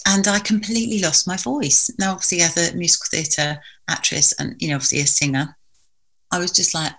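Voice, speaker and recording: A woman with a bright, forceful tone sitting slightly low in her range. She talks earnestly and reflectively as a guest in a heartfelt conversation. Her sentences wind on at length. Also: a little fast. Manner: earnest, reflective, speaks in long winding sentences.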